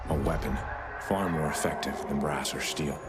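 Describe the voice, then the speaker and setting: An actor playing an earnest young soldier, narrating a military game story in a solemn, measured way. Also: male voice, rumbling low, steady tone. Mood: solemn, brooding. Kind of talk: scripted dramatic game narration and dialogue. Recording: loud music behind.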